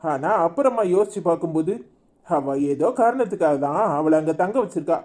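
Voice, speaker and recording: A woman playing a gruff, grumbling husband. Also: fairly low pitch, lively intonation, fairly quick, warm tone, close to an everyday voice. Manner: gruff, scolding. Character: grumpy middle-aged husband. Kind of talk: comic family drama skit.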